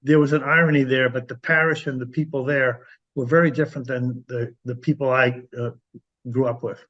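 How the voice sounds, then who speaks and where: Older American man, congregation member reflecting on his past; mid-pitched, measured and halting, somewhat muffled audio. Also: fairly even intonation, a bit bright. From United States. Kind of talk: reflective personal testimony.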